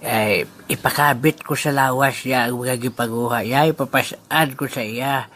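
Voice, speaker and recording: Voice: mid-range voice, a bit bright, close to an everyday voice. A performer voicing a grumbling, aggrieved old man in an amateur radio play. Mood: tipsy, grumbling. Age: over 60. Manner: complaining and mournful, quick to anger. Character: grieving, grumbling old man robbed of his pots.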